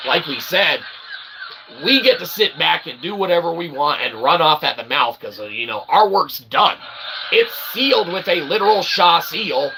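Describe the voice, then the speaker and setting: Man, gravelly voice, rambling monologue, sudden shouted outbursts, keeps saying "okay?", noisy recording. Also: fairly high pitch. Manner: jumps between mutters and sudden loud outbursts; peppers talk with "okay?" and "literally". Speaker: self-published author and channel host.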